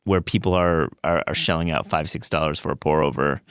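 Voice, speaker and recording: A man hosting a podcast, talking casually and thinking aloud in a measured way. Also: a bass voice, steady tone, a bit bright, clean tone, no echo, studio quality. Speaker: podcast host.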